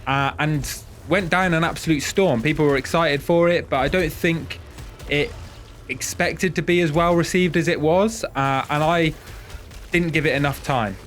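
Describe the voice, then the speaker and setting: A man's voice: fairly high pitch, normal speed, faint music behind. A man with a head cold and a nasal voice, chatting casually and self-deprecatingly as host of a gaming video.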